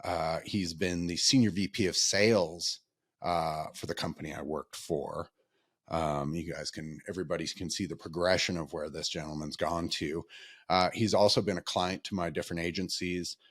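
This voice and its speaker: Low-voiced podcast host guy, rambly and friendly, lots of ums, dry sound. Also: fairly even intonation, moderate pace, a bit bright, breathy edge, no room sound. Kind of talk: casual interview chat.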